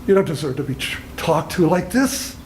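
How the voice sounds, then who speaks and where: A man's voice: fairly high pitch, big pitch swings, normal speed, a little mellow. Man acting a remorseful boyfriend in a noisy room. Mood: pleading, remorseful. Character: remorseful boyfriend, pleading and self-punishing. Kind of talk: dramatic monologue audition.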